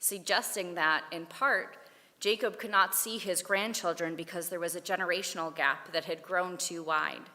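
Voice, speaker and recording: A woman giving a prepared Torah talk at a service, with a measured, deliberate delivery. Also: lowish voice, fairly even intonation, pushed and bright. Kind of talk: prepared sermon read aloud. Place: synagogue sanctuary. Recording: long hall reverb.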